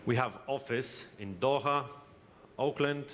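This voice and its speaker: A man's voice: medium pitch, fairly bright tone. Guy presenting at a conference, lots of uhs and restarts, echoey hall, faint background noise. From Lithuania. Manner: halting, with frequent hesitations and restarts. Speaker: company representative presenting. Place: exhibition hall.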